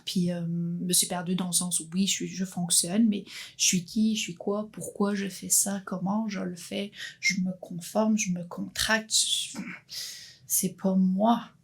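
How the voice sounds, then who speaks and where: Gravelly, husky woman in her fifties. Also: fairly low pitch, level tone, unhurried. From Belgium. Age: 50s. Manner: earnest, thoughtful, frequent restarts. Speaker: guest entrepreneur. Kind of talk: reflective personal interview.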